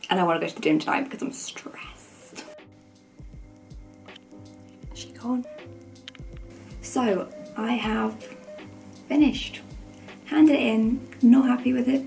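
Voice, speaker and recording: Croaky young woman chatting casually. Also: medium pitch, darkish tone, rough recording. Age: young adult. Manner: informal, self-deprecating, rambling. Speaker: student vlogger. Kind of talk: casual chat to camera.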